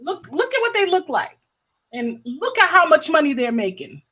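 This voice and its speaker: A woman's voice: sits high, very animated, normal speed, bright, forceful tone. An American woman hosting a livestream with casual, impassioned commentary, stressing points by repeating her phrases. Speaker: livestream host.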